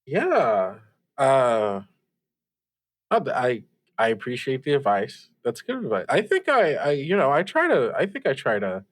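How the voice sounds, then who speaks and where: A man's voice: medium pitch, huge pitch swings, measured pace. A man playing an earnest, awkward young radio host in improvised audio drama, chatting casually with hesitant, stammering, self-effacing speech. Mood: flustered.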